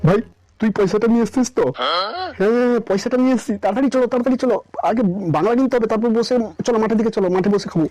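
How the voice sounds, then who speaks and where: A man voicing a scheming village husband in a comic cartoon, coaxing and flattering in hurried, repeated bursts. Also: very high-pitched, dry recording, soft background music, poor audio. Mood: hurried, furtive. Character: wheedling scheming village husband who flatters his wife. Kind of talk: comic Bengali cartoon dialogue.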